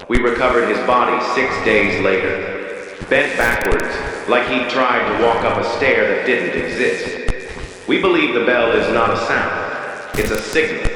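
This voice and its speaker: A man playing a solemn, measured official announcer reading an ominous emergency broadcast for an analog horror piece. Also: medium pitch, sharp tone. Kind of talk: scripted analog horror public safety announcement. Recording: cavernous echo and reverb, noisy tape.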